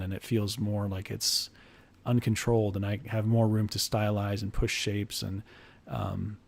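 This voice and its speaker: A young man chatting casually with viewers on a drawing livestream, answering questions in a loose, halting way and restarting phrases as he sketches at his desk. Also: fairly low pitch, vocal fry. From Iraq, grew up in the United States. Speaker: art streamer. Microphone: headphones, desk mic.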